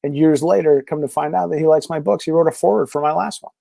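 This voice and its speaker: A man's voice: on the high side, quick pace, gravelly, mellow, dry recording. A man being interviewed as a podcast guest, talking casually and rambling with lots of fillers. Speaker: podcast guest, comic creator.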